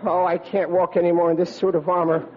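A man playing an earnest, hapless schoolboy, excitable and blustery, in an old radio comedy. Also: high-pitched, fast, lo-fi sound, close to an everyday voice. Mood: miserable. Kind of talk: 1940s-50s American radio comedy acting. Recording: noisy old radio recording, studio audience.

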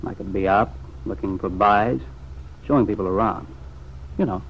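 An actor playing a teasing, easygoing young American bachelor cousin in an old-time radio serial. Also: male voice, low voice, moderate pace. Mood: earnest, musing. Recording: muffled, noisy vintage radio recording.

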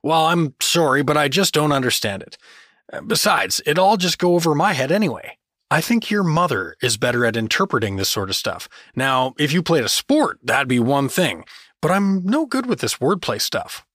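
Actor playing fumbling everyman dad, animated delivery. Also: on the high side, normal speed, dry recording, clean studio sound. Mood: apologetic, awkward. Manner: hesitant, fumbling, apologetic. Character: Doug, well-meaning but clueless everyman dad turned adventurer. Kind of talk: fantasy audio drama acting.

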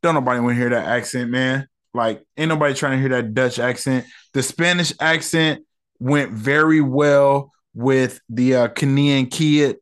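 A man hosts a solo podcast and breaks down an episode in an easygoing, conversational way. His voice sits at an ordinary middle pitch for a man. He leans on slang and plenty of fillers as he talks things through. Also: normal speed, fairly bright tone, clean tone. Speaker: podcast host reviewing an episode. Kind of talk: casual solo podcast review.

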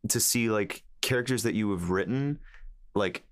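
A man co-hosting a podcast, chatting casually about writing a show in a slightly halting way, repeating words. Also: on the low side, clear, resonant tone. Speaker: podcast host and series writer.

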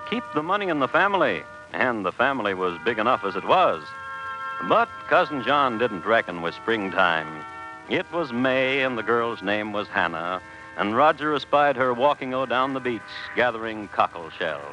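A man's voice: medium pitch, sing-song, normal speed, over music, close to an everyday voice. A man playing a wry, genteel storyteller, narrating an old radio drama in droll, literary phrasing. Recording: dull vintage radio recording.

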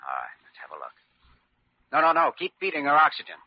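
A man's voice: a little high, huge pitch swings, fast. An American man playing an earnest, determined and idealistic young doctor in a classic radio drama, with urgent, clipped delivery. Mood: focused. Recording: dull, noisy old radio recording.

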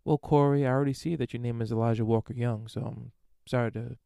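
A man's voice: mid-range voice, steady tone, quick pace, vocal fry, dark tone, clear, resonant tone, dry recording, studio quality. A man hosting a solo podcast, reading and answering listener emails in a crude, irreverent, rambling way with plenty of fillers. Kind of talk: casual solo monologue.

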